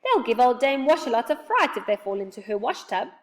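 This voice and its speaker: Storybook male sidekick female performer: gleeful, exclaiming, lively and rather high. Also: a little fast. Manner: exclaiming, emphatic. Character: excitable, mischievous male storybook friend, gleeful. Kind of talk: children's storybook character reading.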